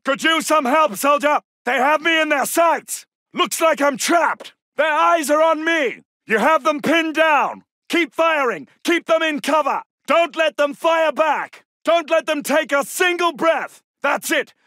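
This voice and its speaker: An actor playing a British Second World War soldier in a video game, barking urgent, clipped battle calls. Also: male voice, very high voice, expressive, measured pace, hardly any pauses, dry recording, studio quality, exaggerated voice. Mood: strained, pressed, then encouraging. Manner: urgent, forceful, clipped military delivery.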